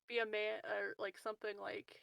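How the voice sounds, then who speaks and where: A woman's voice: mid-range voice, little pitch movement, normal speed, gravelly, fairly bright tone, clean tone, dry recording. A woman playing a tabletop game, speaking in casual, informal chat.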